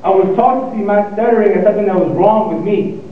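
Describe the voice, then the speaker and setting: A young man giving a motivational speech to an audience, speaking with a stutter and pausing, repeating words as he goes. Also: high voice, a little hiss or hum. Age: young adult. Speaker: student speaker. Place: lecture hall. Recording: echoey hall, tinny and far off.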